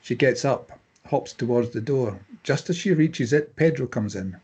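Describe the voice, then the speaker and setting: A man's voice: medium pitch, expressive, moderate pace, background noise. A man giving scripted narration, reading out the stage directions of a drama.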